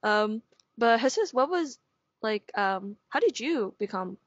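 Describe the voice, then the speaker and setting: A woman's voice: on the high side, lively intonation, measured pace, no echo, lo-fi sound. A young woman hosting an outdoor panel talk, chatty and giggly, full of casual fillers as she asks questions. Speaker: host. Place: outdoors at a table in a leafy garden.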